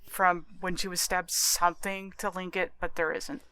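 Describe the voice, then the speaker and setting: A woman's voice: lowish voice, flat delivery, moderate pace, pushed and bright, clear, resonant tone. A woman co-hosting a podcast in casual conversation about film. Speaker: podcast co-host.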